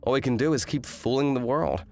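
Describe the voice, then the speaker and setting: A man's voice: medium pitch, lively intonation, fairly quick, faint music behind, close to an everyday voice. A man playing an exasperated eighteen-year-old student in a manga rom-com dub, complaining and narrating with indignant drama. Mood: wry narrating. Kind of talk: manga dub acting and first-person narration.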